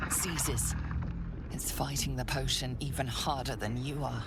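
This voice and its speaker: A woman playing the grave, ominous narrator of a dark fantasy video game, deliberate and hushed in her dramatic storytelling. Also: rumbling low, vocal fry, very noisy, full character voice. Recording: loud music behind.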